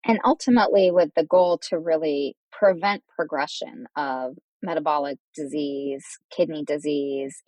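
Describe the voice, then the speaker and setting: A woman's voice: low-pitched, a little mellow, dry recording, rough recording. A woman hosting a professional medical interview, summarizing points and asking questions in a formal, engaged way. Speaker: podcast host, physician interviewer.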